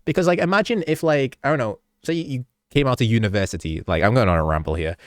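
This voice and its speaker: A man's voice: mid-range voice, quick pace, no room sound, studio quality. A man with a stuffy, slightly sick voice, rambling through a casual behind-the-scenes talk about his video edits, often stopping himself mid-sentence and chuckling.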